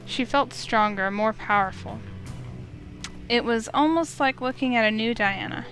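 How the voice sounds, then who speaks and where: A woman reading a visual novel aloud as an expressive, dramatic narrator. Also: on the high side, measured pace, low-quality recording. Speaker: let's play streamer. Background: music in the background.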